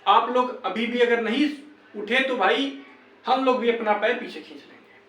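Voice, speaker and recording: Young male campaigner, high voice, urging peers insistently with a reproachful edge; recorded echoey and off-mic. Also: normal speed, a bit bright. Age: young adult. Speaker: exam candidate and campaign organiser. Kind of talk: motivational appeal to fellow candidates. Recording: echoey room, distant microphone.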